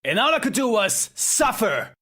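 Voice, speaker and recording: An actor playing an unhinged, vengeful mad-scientist villain in an audio drama, with grandiose, drawn-out delivery. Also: medium pitch, very animated, sharp tone. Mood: aggrieved, bitter.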